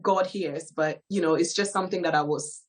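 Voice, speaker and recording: A woman from Ghana in her thirties, telling her life story as a guest in a hesitant, soft-spoken way, with frequent fillers. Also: lowish voice, a little fast. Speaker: guest giving testimony. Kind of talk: personal testimony in an interview.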